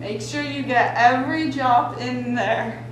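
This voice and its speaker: A woman's voice: fairly high pitch, steady tone, measured pace, fairly bright tone, a little hiss or hum. Giggly teenage girl hosting a mock cooking show. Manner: giggly, hammy, stumbles over words. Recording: echoey room.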